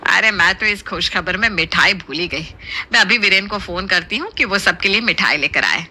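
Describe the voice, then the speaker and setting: A woman's voice: mid-range voice, normal speed, bright, forceful tone, slightly rough. A woman voicing a bossy, affectionate middle-aged mother-in-law in a cartoon moral story, emphatic and motherly. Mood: cheerful.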